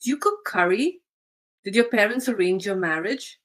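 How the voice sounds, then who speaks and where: A woman from India with an Indian English accent, explaining cultural questions to camera in a measured, explanatory way. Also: medium pitch, expressive, fairly bright tone. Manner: measured, explanatory, occasional fillers. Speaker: vlogger.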